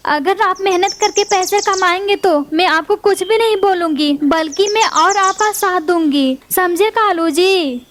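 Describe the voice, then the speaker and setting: Honest cartoon mother bird, very high, pleading and reproachful, woman voicing her. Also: unhurried, hardly any pauses, fairly bright tone, a little hiss or hum. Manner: earnest, reproachful.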